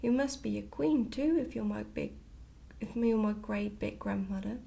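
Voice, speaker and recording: A woman voicing a curious, eager little princess girl in a soft ASMR storybook reading. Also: medium pitch, normal speed, gravelly. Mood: inquisitive. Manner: inquisitive, eager questioning. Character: curious little princess, a bright, direct child.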